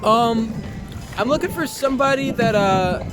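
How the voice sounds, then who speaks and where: A young American man talking casually and candidly as an interview guest, halting and informal, leaning on "like" and the odd swear word. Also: very high-pitched, loud music behind the voice. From Long Island, New York, US. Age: young adult.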